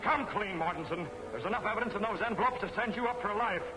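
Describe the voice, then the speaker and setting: An actor playing a stern masked vigilante, forceful and accusing, in an old crime film serial. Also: male voice, sits high, fast talker, lightly acted. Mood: threatening. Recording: noisy vintage film soundtrack.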